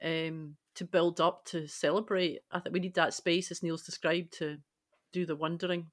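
A woman's voice: on the low side, level tone, normal speed. A woman speaking as a podcast panellist in casual, reflective discussion.

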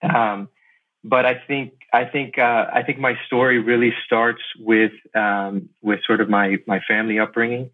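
A middle-aged American man from Miami giving casual interview answers, haltingly, repeating words as he thinks. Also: mid-range voice, little pitch movement. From Miami, Florida, USA. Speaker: podcast guest, lawyer and investor. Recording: thin phone-like sound.

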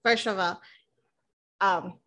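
Woman in her thirties, bright forceful voice, casual podcast interviewing, effusive, chatty, giggly. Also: medium pitch, fairly even intonation, slow pace. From born in the Philippines, moved to the United States at seven. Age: 30s. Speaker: podcast host.

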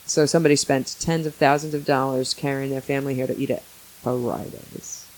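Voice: mid-range voice, fairly even intonation, a little fast, a little mellow, slight background noise, rough recording. A podcast host in their forties chatting casually, joking in a wry, exasperated way. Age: 40s. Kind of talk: casual banter.

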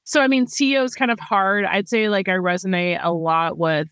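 A woman founder being interviewed, talking in a relaxed, rambling way with lots of "you know" and "like". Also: medium pitch, a little fast, clear, resonant tone, no room sound, clean studio sound. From grew up in Thailand, then the US. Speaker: company founder, guest. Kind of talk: casual interview chat.

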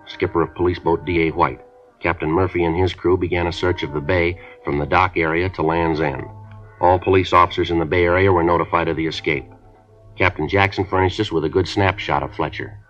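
An actor playing a terse police detective who narrates the case in a flat, clipped, matter-of-fact way, on an old radio drama recording. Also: male voice, sits low, fairly quick, faint music behind. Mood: flat report. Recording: dull 1950s radio transcription.

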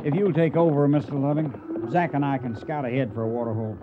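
An actor playing a determined, caring trail boss in a Western radio drama, with commanding folksy cowboy speech. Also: male voice, mid-range voice, fast talker, dull audio, background noise, natural voice. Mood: grim resolve. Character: determined, gruff but caring male cattle trail boss.

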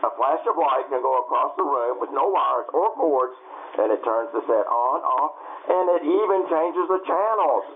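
A man's voice: medium pitch, lively intonation, moderate pace, lightly acted. An actor playing a townsman in an old-time radio drama. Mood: eager, salesman-like. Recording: tinny band-limited radio-play recording, faint noise.

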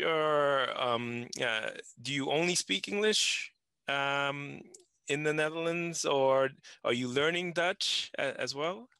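Gravelly bright-voiced man, halting. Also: mid-range voice, dry recording. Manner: halting, filled with "uh" and "right?". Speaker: podcast co-host. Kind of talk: conversational podcast hosting.

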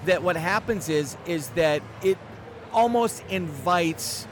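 Guest, male, 40s, high voice, animated and emphatic, recorded outdoors with clear background noise. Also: slow pace. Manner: animated, emphatic, self-deprecating humor. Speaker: guest speaker. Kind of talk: casual podcast interview.

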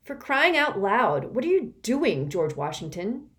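A woman's voice: sits high, sing-song, normal speed, pitched above the performer's own voice. A woman reading an audiobook, voicing a cranky, petulant little girl who whines and sneers. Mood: cranky, shrill. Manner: whiny, sneering and shouty. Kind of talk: audiobook character voice.

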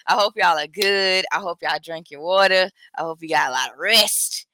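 A woman's voice: fairly low pitch, moderate pace, pushed and bright, no room sound. A woman co-hosting a podcast in casual chat, laughing often and teasing. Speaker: podcast co-host.

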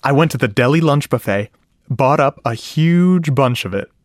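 A man playing a shy, earnest, nerdy sound-effects man, telling his story conversationally and haltingly in a radio drama, with a wistful manner. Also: mid-range voice, animated, lightly acted. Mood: wistful, smitten. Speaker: narrator and lead character. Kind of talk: first-person radio drama monologue. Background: music and sound effects.

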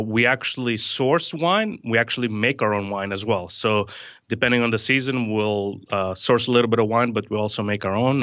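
A man from Greece in his thirties, explaining things in a relaxed, rambling way with plenty of fillers. His voice is bright and forward, recorded dry and close with a slightly dull, muffled top end. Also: lowish voice, no room sound. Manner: relaxed, many fillers and restarts. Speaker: distiller, podcast guest. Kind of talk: casual podcast conversation.

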